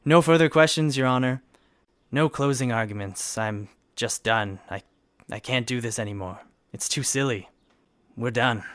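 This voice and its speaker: An actor playing an exasperated, sarcastic hotshot prosecutor in a courtroom comedy, with a sardonic, theatrical way of talking. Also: male voice, mid-range voice, moderate pace, fairly bright tone, no echo, clean studio sound, natural voice. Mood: weary resignation. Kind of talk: scripted comedy courtroom acting.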